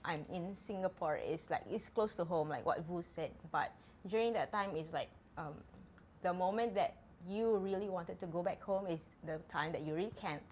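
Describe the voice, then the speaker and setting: A woman from the Philippines, a nurse guest, speaking earnestly and haltingly with many fillers. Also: on the low side, darkish tone, a little hiss or hum. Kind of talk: casual podcast chat.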